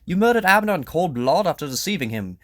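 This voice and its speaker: A proud king in exile played by a man in an audio drama. His voice is raised and swings widely in pitch. He is formal, earnest and emphatic, quick to indignation, in a dry close recording. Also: very animated, a bit bright, dry recording. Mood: indignant.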